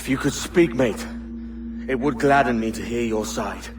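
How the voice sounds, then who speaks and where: An actor playing a gruff, resolute pirate captain in a video game, terse and plain-spoken. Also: male voice, medium pitch, moderate pace, over music, lightly acted. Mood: solemn. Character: gruff, resolute young male pirate captain turned assassin. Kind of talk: dramatic video game acting.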